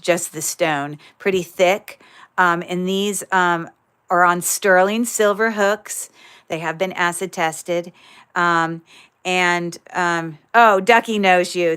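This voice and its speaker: Woman, livestream seller, chatty, filler-heavy, lower voice, crisp clear sound. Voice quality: describes own voice as loud. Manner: chatty, many fillers, thinks aloud while handling items. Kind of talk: casual livestream sales chat.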